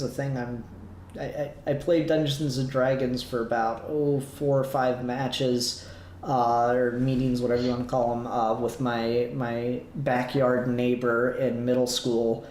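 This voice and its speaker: A man co-hosting a podcast, chatting casually about a review in a halting, hedging way. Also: mid-range voice, darkish tone. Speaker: podcast co-host. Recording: roomy echo, noticeable background noise.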